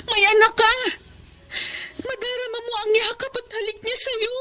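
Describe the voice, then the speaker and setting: An actress playing a caring, earnest nanny in a Filipino radio drama, with a Filipino accent. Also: female voice, very high voice, level tone, moderate pace, over music. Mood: pleading. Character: caring, earnest young nanny pleading for her ward.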